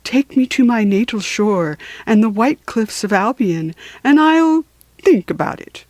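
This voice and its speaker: A woman's voice: fairly high pitch, expressive, unhurried, a little mellow, no room sound. A woman voicing a stubborn, cocky shipwrecked male sailor from a storybook, defiant and declamatory.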